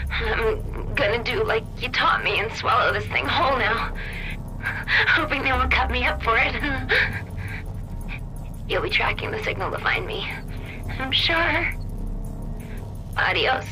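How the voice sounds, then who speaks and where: A woman's voice: a little high, low-quality recording. An actress playing a twitchy, loyal female infiltrator in a video game, reporting in clipped phrases. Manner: clipped, colloquial field-report delivery.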